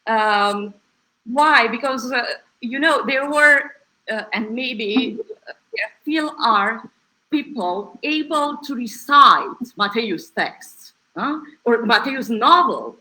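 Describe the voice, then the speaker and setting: A woman with a Romanian accent speaks slowly in English, pausing often and restarting her sentences. Her tone is bright and sharp. She sounds like a native Romanian speaker taking her turn on a discussion panel. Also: a little high, expressive, low-quality recording. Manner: hesitant, many fillers and restarts.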